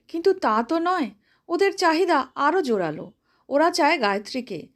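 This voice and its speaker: উঁচু গলার মহিলা গল্পকথক. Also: female voice, high voice. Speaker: storyteller. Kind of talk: expressive narration of a Bengali short story.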